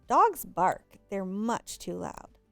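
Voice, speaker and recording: A woman's voice: medium pitch, big pitch swings, takes it slowly, creaky, no echo, soft background music, exaggerated voice. A woman voicing a sleepy, easily startled father who is reluctant and dismissive in a storybook. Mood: weary refusal.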